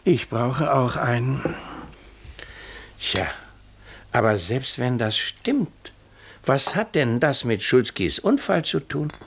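An actor playing an earnest, troubled village pastor in a radio drama, speaking in an educated, questioning way. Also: male voice, mid-range voice, animated, slow, vocal fry, pauses often, a little hiss or hum. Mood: concerned. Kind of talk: German radio drama acting.

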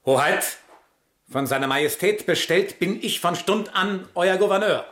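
A formal count at a Prussian court in a radio drama. His voice is high for a man, with echo and faint noise around it. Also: a little slow, a bit bright. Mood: courtly, formal. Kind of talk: German radio drama acting.

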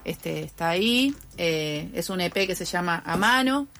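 A woman's voice: lowish voice, lively intonation, a little slow, fairly bright tone. A woman musician, a guest on a radio show, easygoing and hesitant with lots of fillers. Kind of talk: radio interview. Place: radio studio.

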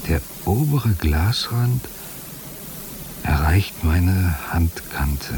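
An actor voicing an entranced man in a radio play monologue, halting, hushed and poetic, as if in a trance. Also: deep voice, lively intonation, exaggerated voice. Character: entranced man watching time stand nearly still. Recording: clear hissing noise behind voice.

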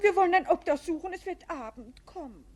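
Fretful motherly governess, very high voice, 1950s radio-drama style. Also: animated, fairly quick. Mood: worried, soothing. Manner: anxious, motherly stage delivery. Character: fussy, fretful female governess of a princess. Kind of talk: 1950s radio play acting.

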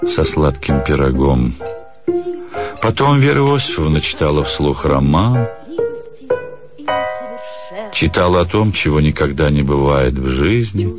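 A man narrating a literary story for radio in a measured, pensive manner. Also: low voice.

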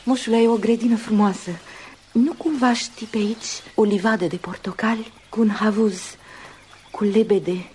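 An actress playing a dreamy, yearning woman in a radio play, speaking in a musing way with pauses. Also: mid-range voice, steady tone, darkish tone, natural voice. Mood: eager, wondering. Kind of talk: Romanian radio theatre acting. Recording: noisy old radio drama recording.

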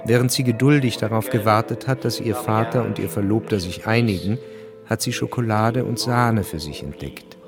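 An actor as a sober chronicler, narrating a radio drama in a factual, composed manner. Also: male voice, on the low side, moderate pace, music in the background, natural voice. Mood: matter-of-fact. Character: sober male chronicler of historical events.

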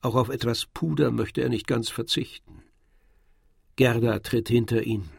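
Gravelly male radio drama narrator. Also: medium pitch, fairly even intonation, a little slow, no echo, clean studio sound. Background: faint music.

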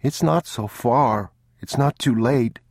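A man's voice: mid-range voice, slow pace, darkish tone, no echo, clean studio sound, voice pushed up. A man voicing a frightened young boy, tearful, stubborn and tender, in an audiobook story. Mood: quiet, plaintive. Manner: pleading, plaintive, childlike questions.

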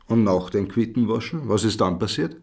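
An actor in a radio drama playing a sceptical, persistent police interrogator with an Austrian accent and a dry, measured, probing manner. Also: male voice, lowish voice, expressive, gravelly, darkish tone, slightly rough, heavily acted. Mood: probing, insistent. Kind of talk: naturalistic radio drama acting.

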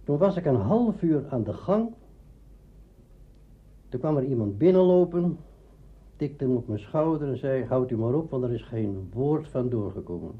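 A man's voice: medium pitch, animated, moderate pace, pauses often. An older man, an interviewee telling anecdotes the past in a chatty, repetitive way. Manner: chatty storyteller, repeats himself. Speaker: interviewee, veteran radio actor. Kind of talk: anecdotal reminiscence. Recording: tinny narrow-band archive recording, faint noise.

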